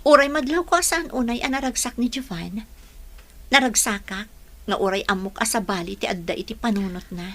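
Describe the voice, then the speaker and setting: A woman's voice: fairly high pitch, a little fast, a bit bright. A woman playing a devoted, lovesick young bride in an Ilocano radio drama, earnest and emotional, with a Filipino accent. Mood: wistful.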